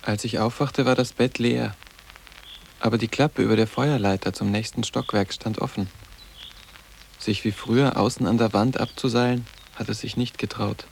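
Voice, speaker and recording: A man's voice: on the low side, fairly even intonation, normal speed, gravelly, a little hiss or hum. An actor playing a sarcastic, detached teenage boarding-school boy in a radio play, with terse, ironic and poetic phrasing. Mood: subdued. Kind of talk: 1980s German radio play acting.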